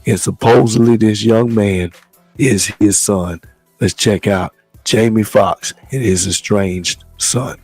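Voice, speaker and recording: Hoarse, gravelly-voiced male talk host. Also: fairly low pitch, fairly even intonation, a little mellow, no echo, faint music behind. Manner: measured, loose, repeats words as he talks. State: hoarse from the flu. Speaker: show host. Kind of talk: casual talk show hosting.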